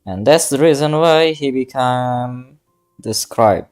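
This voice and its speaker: A woman's voice: rumbling low, animated, slow pace, a little mellow, soft background music. A woman streamer giving casual live commentary while she plays a game.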